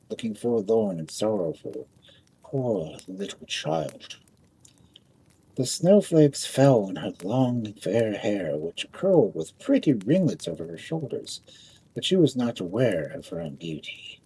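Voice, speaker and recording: A man reading a fairy tale aloud as a storyteller, dramatic and expressive, drawing out phrases for effect. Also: mid-range voice, a little hiss or hum, rough recording.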